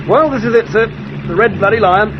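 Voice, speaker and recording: An actor playing a glum, deferential British army sergeant who grumbles wryly, in a vintage radio drama. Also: male voice, high voice, a little fast, close to an everyday voice. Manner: respectful but wry and grumbling. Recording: muffled old radio recording, loud noise.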